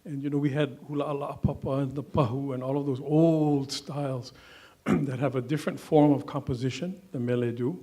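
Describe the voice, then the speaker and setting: A man on a panel explains history in a measured, scholarly way. His voice is dark, mellow and slightly husky, and his pitch stays in the middle range. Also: fairly even intonation. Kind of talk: panel discussion.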